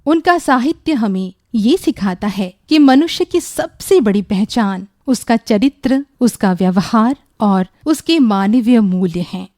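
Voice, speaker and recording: A woman's voice: medium pitch, warm tone, dry recording. Formal woman announcer, dry studio sound. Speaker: radio drama announcer. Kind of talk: formal scripted narration.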